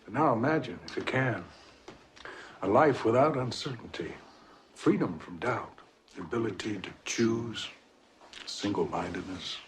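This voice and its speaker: Casting: male actor for a calm, devoted film cult recruiter, low and hushed, solemnly persuasive, heard through distant noise. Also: unhurried, long pauses, close to an everyday voice. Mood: quietly persuasive. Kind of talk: film drama dialogue. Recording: distant, noisy film clip with clicks.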